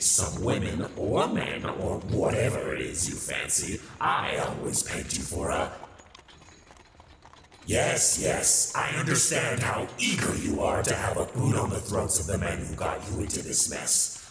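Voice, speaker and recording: A sly demon tempter played by a man speaks in an animated, sardonic and grandiose way for an ASMR roleplay. The voice swoops around and rings with long reverb, over loud background ambience. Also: low-pitched, creaky, very noisy, heavily acted. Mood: wry, scheming, then stern, coaxing. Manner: theatrical, sardonic, grandiose wording. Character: sly, hedonistic male demon, mocking tempter and mentor.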